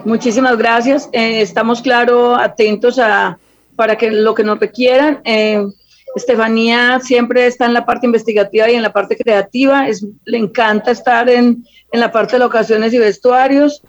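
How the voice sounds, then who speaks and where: Halting Colombian woman, slightly muffled audio. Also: a little high, steady tone, dry recording. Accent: Colombian, from Antioquia. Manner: halting, repeats words while searching. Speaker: guest, costume designer. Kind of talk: unscripted interview talk. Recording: dull, muffled lo-fi line.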